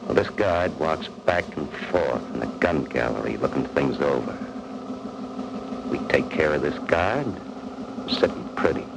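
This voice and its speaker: An actor playing a tough, bossy convict with slangy, ungrammatical talk in a 1940s radio crime drama, on an old recording. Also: male voice, a bass voice, expressive, a little slow, gravelly, background noise, heavily acted. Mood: scheming, confident. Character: tough, bossy male convict plotting prison break.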